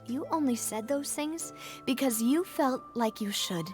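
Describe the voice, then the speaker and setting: A woman's voice: sits high, expressive, unhurried, soft background music. An actress playing a proud, wounded young noblewoman in a video game, articulate and dramatic. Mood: bitter, accusing. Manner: articulate, dramatic, emotionally direct. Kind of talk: English video game voice acting.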